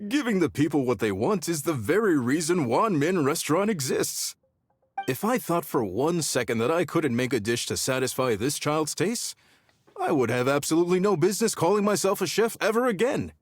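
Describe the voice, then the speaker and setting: A man voicing a genial, proud male restaurant chef, hospitable and quick to chuckle. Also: fairly high pitch, expressive, faint music behind.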